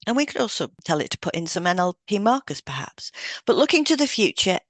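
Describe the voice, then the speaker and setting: A woman podcast co-host speaking in a measured, soothing way, as if reading from a prepared script. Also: mid-range voice, sing-song, dry recording.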